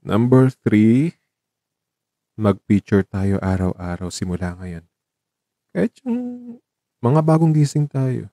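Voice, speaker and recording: A man with a Filipino accent acting a gentle, dying young man in a radio drama, soft and wistful as he talks tenderly to his lover. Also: lowish voice, expressive, slow pace, pauses often, dry recording, clean studio sound. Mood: wistful, playful.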